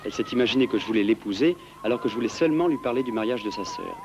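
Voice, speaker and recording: An actor playing an earnest, flustered schoolteacher in love, formal and imploring in a comic drama. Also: male voice, mid-range voice, wildly swooping pitch, very fast, dull audio, background noise. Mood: pleading.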